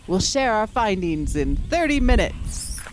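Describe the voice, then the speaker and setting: A woman's voice: medium pitch, big pitch swings, unhurried, slight background noise. A woman playing a no-nonsense science teacher leading a field trip in an audio drama, with an instructive manner. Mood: encouraging.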